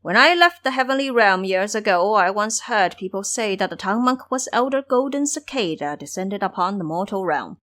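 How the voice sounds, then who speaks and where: A commanding monster king, acted by a woman in an audio storybook. He lays things out with an elder brother's authority, his pitch rising and falling vividly. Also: animated, normal speed, few pauses, a bit bright, natural voice. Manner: authoritative, explanatory.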